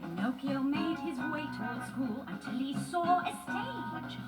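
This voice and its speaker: A woman with a high voice narrates a children's tale in a scripted sing-along style. Loud guitar music runs underneath her throughout. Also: animated, measured pace. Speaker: storybook narrator.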